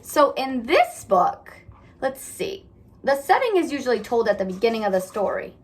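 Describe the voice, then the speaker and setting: A woman's voice: a little high, big pitch swings, moderate pace. A woman teacher reading aloud and talking to young children in an instructive way, often putting questions to them. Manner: instructive, asks the listeners questions. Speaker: elementary school teacher. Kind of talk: children's read-aloud and lesson.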